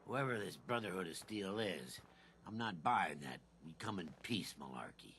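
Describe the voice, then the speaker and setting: A man's voice: low voice, big pitch swings, normal speed, a bit bright, a little hiss or hum, exaggerated voice. An actor voicing a skeptical, folksy townsman in a video game. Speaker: game character.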